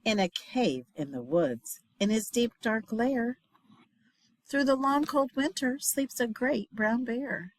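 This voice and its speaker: A woman reads a children's storybook at an unhurried pace. Her delivery is expressive and dramatic, sinking into hushed refrains. The sound is dry, with little room to it. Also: fairly high pitch, dry recording. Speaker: storybook reader, teacher. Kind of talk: expressive children's picture book read-aloud.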